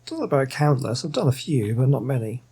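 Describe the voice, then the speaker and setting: A man's voice: mid-range voice, big pitch swings, quick pace, creaky, noisy background. A man giving casual gameplay commentary, muttering to himself as he plays. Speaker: gaming video host.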